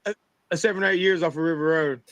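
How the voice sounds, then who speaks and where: A co-host from Southeast Georgia speaks, crude and jokey. His voice sits high for a man. The sound is dry and close, with no room around it. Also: steady tone, quick pace, no room sound. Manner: crude, joking, loud. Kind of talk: casual podcast banter.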